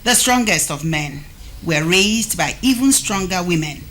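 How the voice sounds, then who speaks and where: A woman hosting a TV studio interview, speaking in a measured way and reading poems aloud slowly. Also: on the low side, lively intonation, a bit bright, slight background noise. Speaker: TV talk show host.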